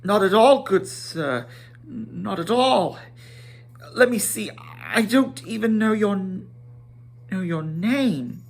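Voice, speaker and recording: A man voicing a fussy, flustered, excitable little hobbit gentleman in a storybook reading, polite, rambling and full of exclamations. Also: high-pitched, takes it slowly, gravelly, long pauses, pitched above the performer's own voice, exaggerated voice.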